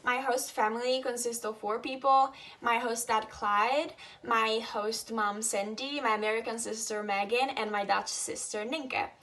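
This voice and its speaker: An eighteen-year-old woman from Italy speaks English with an Italian accent in a somewhat high voice. She explains things in a steady, casual way. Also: measured pace, hardly any pauses. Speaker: former exchange student. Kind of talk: casual vlog chat.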